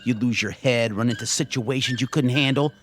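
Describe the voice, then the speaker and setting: Man acting as stern male alien soldier, ruthless, clipped commanding delivery, mid-pitched, radio drama, faint music. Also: a little fast, natural voice. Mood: stern, accusing.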